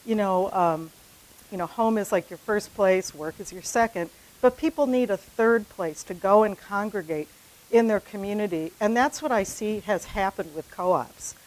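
A woman's voice: medium pitch, rough recording. A middle-aged woman speaking off the cuff at a conference, conversational with many fillers and restarts, building to a rallying finish. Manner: animated, gesturing widely, rising to a rallying finish. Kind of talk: unprepared conference talk. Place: conference room in front of a poster. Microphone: handheld mic.

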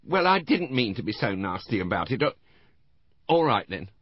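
A man acts out a middle-aged woman from a crime audiobook, high-voiced and flustered. She is out for money but wavers, hesitating and restarting her phrases. The recording is slightly dull. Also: big pitch swings, normal speed, slightly rough, no echo. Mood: grudging assent.

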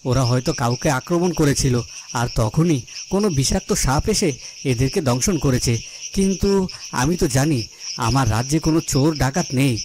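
A man's voice: mid-range voice, expressive, a little fast, noisy background, lightly acted. A man playing an earnest fairy-tale king in a cartoon, dramatic, repeating phrases for emphasis. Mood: troubled, puzzled. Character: earnest fairy-tale king, troubled by crime in his realm.

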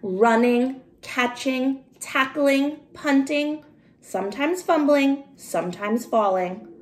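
Unhurried woman reading aloud, roomy sound. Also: high-pitched. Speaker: storybook reader. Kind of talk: expressive children's read-aloud. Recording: roomy home recording with noticeable hiss.

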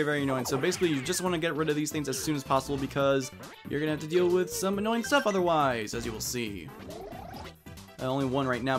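A man chats through a video game playthrough in an easygoing, mid-pitched voice, explaining each move. Loud game music runs under his talk. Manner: chatty, explaining game mechanics. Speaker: let's play host. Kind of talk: casual gameplay commentary.

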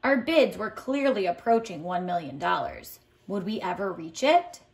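A woman's voice: lowish voice, very animated, moderate pace, gravelly. Woman reading a children's book aloud. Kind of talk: expressive children's read-aloud with lesson prompts. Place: indoors at home, tiled floor.

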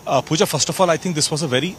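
Emphatic Indian male reporter, slightly high pitch. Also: racing, fairly bright tone. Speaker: field reporter. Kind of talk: live news report. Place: outdoor rally venue at night. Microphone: handheld reporter microphone. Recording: faint outdoor background noise.

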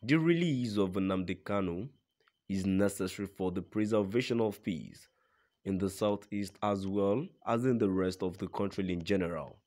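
Man, lowish voice, formal news narrator, scripted read-aloud delivery. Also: moderate pace, a little mellow.

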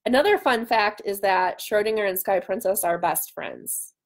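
A woman's voice: mid-range voice, animated, normal speed. A woman in her thirties chatting casually and playfully as she hosts a livestream from home on a webcam. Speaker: author hosting a livestream. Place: small room at home, indoors. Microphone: webcam at arm's length.

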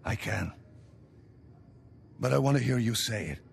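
An actor playing a middle-aged, menacing crime boss with a Latin American Spanish accent, gravelly, measured and quietly commanding, in a game cutscene. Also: male voice, mid-range voice, animated, a little hiss or hum. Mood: philosophical, smooth menace. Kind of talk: dramatic video game cutscene acting.

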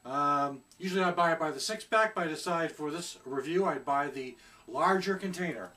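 A middle-aged man talks casually while reviewing a beer, hesitating often as he searches for words. His voice sits slightly high for a man. He is recorded in a small room at home by a camera a little way off. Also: normal speed, sharp tone, far from the mic. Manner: chatty, hesitant with many fillers.

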